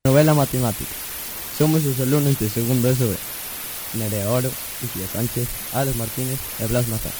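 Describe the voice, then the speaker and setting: A man's voice: mid-range voice, fairly even intonation, a little fast. A young man performing scripted lines as a student presenter and actor in a school radio programme. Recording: hissy amateur recording.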